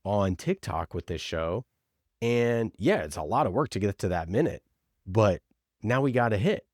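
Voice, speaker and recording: A man's voice: lowish voice, lively intonation, normal speed, darkish tone, dry recording, studio quality. A man giving conversational marketing advice as an interview guest, informal, opinionated and emphatic, often voicing imagined quotes. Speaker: podcast producer, interview guest.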